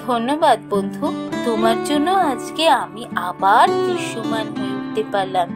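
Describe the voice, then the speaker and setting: A woman voicing a gentle, earnest young village woman in a fairy-tale cartoon, speaking expressively. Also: fairly high pitch, normal speed, lightly acted. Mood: grateful, tender. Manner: expressive, earnest storybook delivery. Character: gentle lonely young village woman, cursed invisible, grateful. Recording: music behind the voice.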